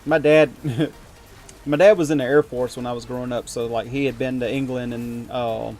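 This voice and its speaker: A man from the United States rambles to his stream viewers in an easygoing, self-mocking way, breaking into little chuckles. His voice sits at an ordinary middle pitch, and faint music plays underneath. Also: steady tone, moderate pace, a little mellow. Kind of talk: casual chat with viewers while gaming.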